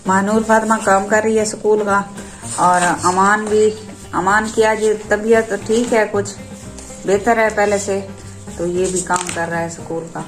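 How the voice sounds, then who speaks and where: A woman with a hoarse, sore throat, chatting casually to her viewers while doing housework. She is from Pakistan and talks in a friendly way, sending blessings to her subscribers. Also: medium pitch, fairly even intonation, measured pace, reverberant, faint music behind. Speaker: vlogger and mother. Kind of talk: casual home vlog chat. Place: inside a home.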